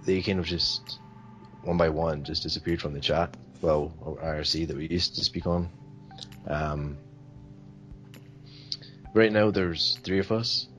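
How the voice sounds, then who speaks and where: A man's voice: low voice, steady tone, a little slow, long pauses, soft background music, poor audio. A man from Sweden answering interview questions in a hesitant, rambling way, full of fillers. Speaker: interview guest.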